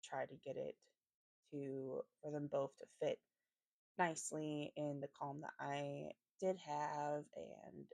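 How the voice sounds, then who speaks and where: Low, slightly raspy, creaky woman's voice. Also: flat delivery, slow pace, warm tone, no echo. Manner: hesitant, self-correcting, rambling. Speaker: planner vlogger and host. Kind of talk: casual craft voiceover.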